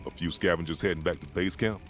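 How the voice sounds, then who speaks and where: Man acting low-voiced, dutiful game soldier. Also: fairly even intonation, quick pace, lightly acted. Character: dutiful, principled male soldier. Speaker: video game character voice. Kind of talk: scripted military game acting, short unit responses. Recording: game audio with loud music.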